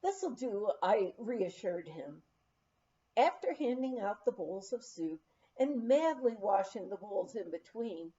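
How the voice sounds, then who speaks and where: A woman's voice: medium pitch, warm tone, lo-fi sound. A woman reading a written memoir aloud as an audiobook narrator. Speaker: audiobook reader.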